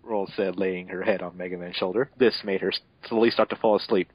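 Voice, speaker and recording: A man's voice: sits low, fairly even intonation, brisk, breathy edge, no room sound. A man narrating fan fiction as a mocking dramatic reading, sarcastic and exasperated, breaking off for asides, on a muffled home recording. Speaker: narrator and reader.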